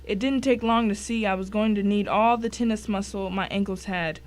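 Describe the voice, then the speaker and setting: A woman's voice: medium pitch, moderate pace, lightly acted. A woman playing a tense first-person narrator in a dramatic suspense story.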